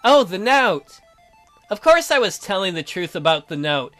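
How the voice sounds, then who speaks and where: A man acts out a resentful young prisoner stuck in a boy's body. The voice is pitched high and swings widely as it accuses and complains in a theatrical, sarcastic way, with game music behind it. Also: big pitch swings, moderate pace, bright, forceful tone, full character voice. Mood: disdainful. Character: bitter imprisoned youth stuck in a child's body, sarcastic, resentful. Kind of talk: visual novel character read-aloud.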